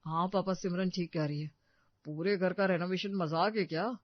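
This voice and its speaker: A woman's voice: lowish voice, steady tone, moderate pace, no echo, close to an everyday voice. A woman voicing a disapproving, money-minded elder brother in an audio story, stern and objecting. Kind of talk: Hindi audio story acting. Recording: slightly dull, dry studio recording.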